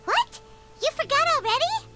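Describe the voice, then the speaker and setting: Tiny excitable fairy sidekick for an anime game: squeaky, extremely high, wildly animated. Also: female voice, normal speed, loud music behind the voice. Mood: surprised, chirpy. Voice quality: squeaky, childlike. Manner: exclamatory, refers to self in third person. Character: tiny childlike fairy guide, excitable, chatty, speaks in third person. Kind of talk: anime video game voice acting.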